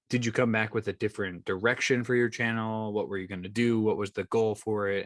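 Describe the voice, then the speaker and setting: A man with a somewhat low voice hosts a conversational interview. He rambles through his questions, stumbling and restarting as he goes. The recording is dry and close. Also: no echo. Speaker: podcast host.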